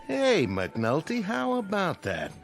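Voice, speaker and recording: An actor playing a weary American bar owner in a radio drama, dry, wisecracking and exasperated. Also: male voice, high voice, huge pitch swings, slow pace, vocal fry, soft background music, exaggerated voice. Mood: sarcastic. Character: weary, sardonic bar owner, adult man.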